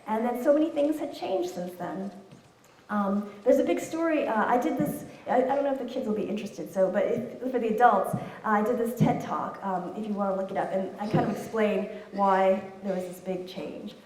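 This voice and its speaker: A woman's voice: medium pitch, moderate pace, darkish tone. A woman in her thirties, an author and illustrator, chatting casually while answering audience questions, laughing often. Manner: chatty, laughs often, many fillers and restarts. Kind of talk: casual Q&A talk. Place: room with an audience. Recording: echoey room, distant mic.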